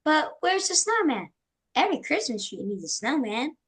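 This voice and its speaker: An eager little girl, acted by a woman in a drama table read. Her voice is high and lively, with an innocent, sweet temper. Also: normal speed.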